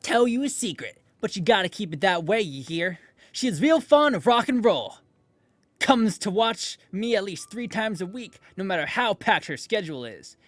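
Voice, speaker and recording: A man's voice: high-pitched, animated, normal speed, dry recording, voice pushed up. A man voicing a brash, folksy young female rock musician in a chatty, conspiratorial way for a game character. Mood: playful gossip.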